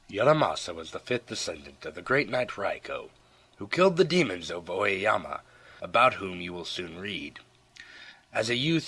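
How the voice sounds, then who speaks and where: A man narrating an audiobook legend in a measured, expressive storytelling manner. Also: deep voice.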